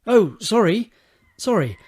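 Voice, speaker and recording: An actor playing a flustered, apologetic young gentleman in an audio drama, with a hesitant, polite way of speaking. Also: high-pitched, sing-song, takes it slowly, husky, full character voice.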